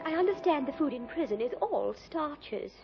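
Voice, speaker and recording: A woman's voice: high voice, big pitch swings, moderate pace, creaky, full character voice. An actress playing a frivolous, gossipy society woman in a 1950s radio drama, gushing and flippant. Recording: muffled old radio recording, faint music.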